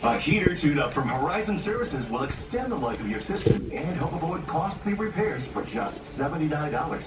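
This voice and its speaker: A man reads a commercial with an animated, fairly high voice. The capture is distant and roomy, with noise underneath. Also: brisk. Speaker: ad announcer. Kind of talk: commercial read. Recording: echoey broadcast audio from far off.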